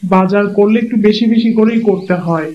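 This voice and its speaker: Cartoon dub: man voicing a generous young husband, insistent and upbeat, high voice, lo-fi sound. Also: a little fast, a little hiss or hum, lightly acted. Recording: muffled lo-fi audio.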